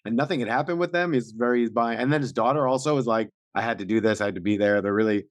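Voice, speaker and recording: A man's voice: mid-range voice, rapid-fire, no echo. A man co-hosting a casual podcast recap, explaining things in an informal, slangy way. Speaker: podcast co-host.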